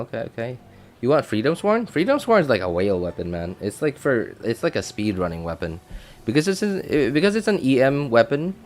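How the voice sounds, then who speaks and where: A man giving casual, chatty live gameplay commentary as a streamer, thinking out loud and reacting as he goes. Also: fairly low pitch, a little hiss or hum. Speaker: game streamer.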